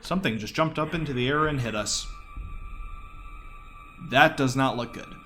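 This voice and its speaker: A man's voice: medium pitch, moderate pace, fairly bright tone. A man commentates a speedrun in a casual, explanatory way. His voice sits in a middle register, and he drops in wry, informal asides. Game music plays faintly behind him. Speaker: speedrunner giving live commentary.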